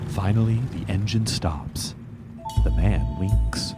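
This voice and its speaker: A man's voice: low voice, takes it slowly, over loud music. A man giving dramatic, theatrical storyteller narration for a comedy audio drama.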